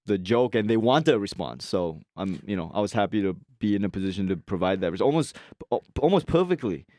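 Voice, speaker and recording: A comedian guest in a studio chat, animated and quick to laugh, explaining things with examples. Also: male voice, on the low side, no echo. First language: English. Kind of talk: casual talk show chat. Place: radio studio. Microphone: studio mic.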